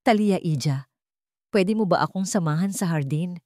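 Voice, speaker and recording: A woman with a Filipino accent voicing a gentle, affectionate elderly wealthy lady in a dramatic audio story. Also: lowish voice, moderate pace, no echo, clean studio sound, natural voice. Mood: gently pleading.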